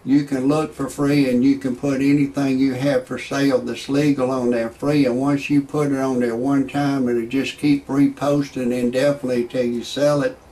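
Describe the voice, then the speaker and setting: A man's voice: mid-range voice, flat delivery, normal speed, few pauses, mellow, rough recording. A man telling jokes and stories in a rambling comedic monologue, often stammering and restarting as he chuckles through his own material. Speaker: amateur comedian commentator.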